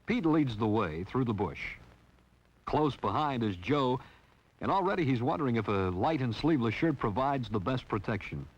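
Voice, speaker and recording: Man, film narrator, wry and teasing, husky, lively pitch, lo-fi 1960s soundtrack. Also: moderate pace. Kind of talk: scripted humorous narration.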